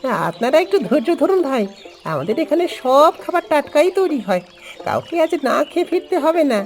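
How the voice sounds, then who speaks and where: A woman voicing a humble, grateful ageing village father who runs a food stall in an animated story. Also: sits high, fairly quick. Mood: grateful, heartfelt. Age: older. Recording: voice over background music.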